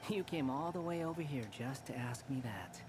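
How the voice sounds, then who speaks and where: A man's voice: mid-range voice, moderate pace, close to an everyday voice. A man voicing an aloof, curt stray cat in a video game, dry and dismissive. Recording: game audio under loud music.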